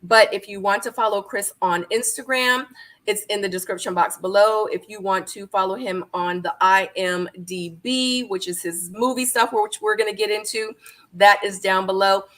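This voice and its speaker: Bright-voiced chatty woman host. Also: medium pitch, normal speed. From Korea. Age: 40s. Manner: chatty, upbeat, addresses viewers directly. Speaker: YouTube interview host. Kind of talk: casual interview hosting.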